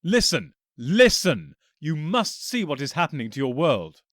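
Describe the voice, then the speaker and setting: A man's voice: fairly high pitch, sing-song, moderate pace, no echo, studio quality, voice pushed up. A man voicing an eccentric male Time Lord hero, earnest and emphatic, with a touch of impatience.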